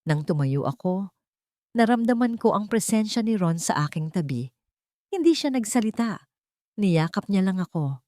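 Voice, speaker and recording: A woman's voice: medium pitch, very animated, normal speed, pauses often, warm tone, no room sound, clean studio sound. A woman reading a first-person story as scripted audiobook narration, in an earnest, dramatic storytelling manner.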